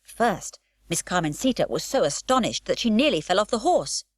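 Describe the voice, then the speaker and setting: Expressive woman reading a children's story. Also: mid-range voice, moderate pace, no echo. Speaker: audiobook narrator. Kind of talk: scripted storybook narration.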